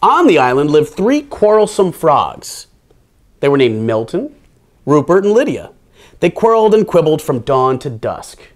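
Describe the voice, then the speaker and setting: Casting: male kids' storytime host, fairly high voice, very lively intonation, playful and theatrical. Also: a little slow. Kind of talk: expressive picture-book read-aloud with casual asides.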